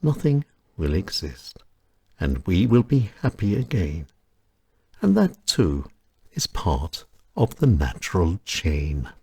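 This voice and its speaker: Deep, slow, husky-voiced male narrator. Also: huge pitch swings, darkish tone, no echo, studio quality. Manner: measured, solemn, reflective delivery. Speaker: host and writer reading his own essay. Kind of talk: scripted reflective narration.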